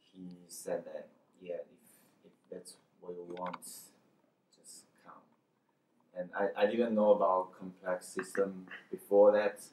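Slow low-voiced man. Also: a little mellow. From China. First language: Chinese. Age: young adult. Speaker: PhD student. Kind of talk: casual self-introduction. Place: conference room. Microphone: distant camera mic. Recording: roomy, distant mic.

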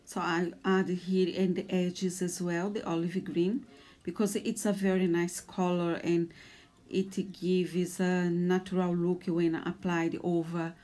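A woman in her forties from Brazil, speaking English with a Brazilian Portuguese accent and talking softly and a little hesitantly as she explains a drawing tutorial. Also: lowish voice, level tone, slow. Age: 40s. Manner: soft, hesitant, a little nervous. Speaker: art tutor.

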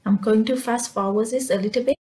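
A woman whose first language is Vietnamese, narrating a step-by-step software tutorial as its presenter. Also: on the high side, fairly even intonation, fast talker.

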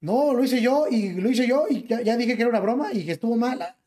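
Ranting young Mexican streamer. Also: male voice, very high voice, quick pace, warm tone. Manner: ranting, fillers every phrase with slang. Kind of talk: reacting to clips on a live stream. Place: small bedroom. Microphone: gaming headset.

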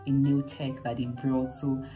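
Nigerian girl in her vlog, young woman, deep soft-edged voice, chatting casually to her phone. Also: flat delivery, unhurried, faint music behind. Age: young adult. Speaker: vlogger. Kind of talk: casual travel vlog chat. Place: hotel rooms, indoors. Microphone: phone at arm's length.

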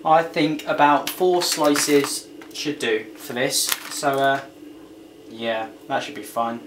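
A man's voice: mid-range voice, fairly even intonation, takes it slowly. A young man casually narrating a home cooking vlog in a playful, cheeky way. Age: young adult. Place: small home kitchen, indoors. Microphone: camera a short distance away. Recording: clear background noise, home camera microphone.

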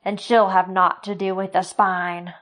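Woman doing a furious male butcher, snarling, slow and loud, flat pitch, storybook villain. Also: close to an everyday voice. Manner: bitter, snarling accusations.

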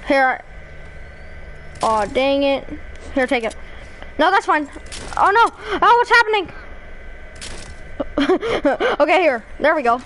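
A high-pitched woman gaming and chatting with a friend, her voice bouncing all over the place as she jokes around. She is excitable and teasing, and a faint hiss sits under the recording. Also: slow pace, pauses often, low-quality recording. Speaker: gamer. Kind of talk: casual gaming chat.

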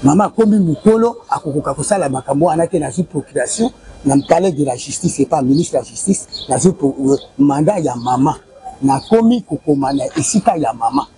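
Congolese guy in his 40s, high-ish husky voice, keeps repeating dates and figures, lapel mic outside. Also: lively intonation, fairly quick, mellow, poor audio. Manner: insistent, repeats dates and figures, waves documents. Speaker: interviewee with a family dispute. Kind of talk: street interview, recounting a complaint. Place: outdoor courtyard by a building.

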